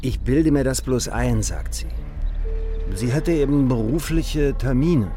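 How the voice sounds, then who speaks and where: An actor playing an insecure, troubled husband in a radio drama, hesitant and agitated. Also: male voice, medium pitch, expressive, measured pace, mellow, soft background music, natural voice. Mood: troubled, pleading.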